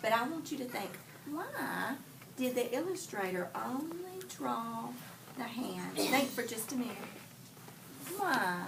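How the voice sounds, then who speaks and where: A woman's voice: a little high, very animated, slow pace, gravelly. A woman teacher doing an interactive read-aloud for children, prompting with questions and repeating answers back. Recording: distant mic in a noisy room.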